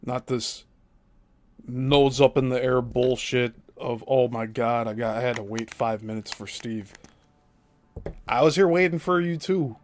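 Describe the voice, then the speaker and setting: A man's voice: mid-range voice, darkish tone. Scornful, cursing man reacting to clips. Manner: profane, scornful, mocking. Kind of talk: angry commentary over clips.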